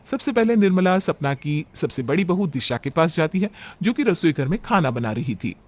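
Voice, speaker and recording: High-pitched male story narrator. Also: sing-song, normal speed. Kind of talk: scripted story narration for cartoon.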